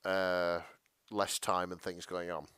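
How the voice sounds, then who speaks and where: A man's voice: deep voice, completely flat delivery, slow pace, pushed and bright, clean tone, dry recording, studio quality. A man co-hosting a podcast in casual chat, agreeable and wry. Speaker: podcast co-host.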